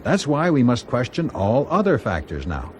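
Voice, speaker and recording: An actor playing a proud aircraft company executive in a radio drama, speaking in a formal, rhetorical and insistent way. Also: male voice, mid-range voice, wildly swooping pitch, moderate pace, slight background noise. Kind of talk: BBC radio drama acting.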